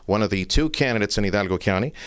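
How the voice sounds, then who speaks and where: Lowish-voiced male interviewer, dry sound. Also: expressive, rapid-fire, fairly bright tone, clean tone, no room sound. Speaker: radio interviewer. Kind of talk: interview questions and introduction.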